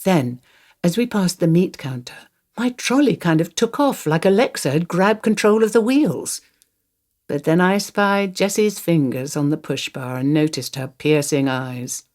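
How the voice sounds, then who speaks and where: An older woman with a low voice performs a dramatic monologue. She tells it like conversation, confiding and wry. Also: measured pace, a little mellow. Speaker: reader of a radio play monologue. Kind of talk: dramatic first-person monologue, conversational storytelling.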